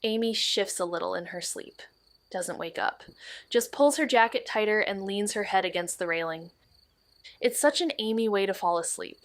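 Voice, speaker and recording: An actress playing a young woman sleuth who narrates softly and reflectively in an audio drama. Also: medium pitch, moderate pace, no room sound, natural voice. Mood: hushed reflective. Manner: soft, hushed and reflective. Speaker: first-person narrator.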